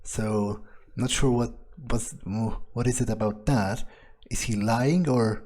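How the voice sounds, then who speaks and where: A man's voice: medium pitch, steady tone, takes it slowly, a little mellow. A man role-playing a reserved fledgling vampire in a video game playthrough, speaking casually and hesitantly as he muses. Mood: musing, wary. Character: reserved, wary male fledgling vampire, thinking aloud.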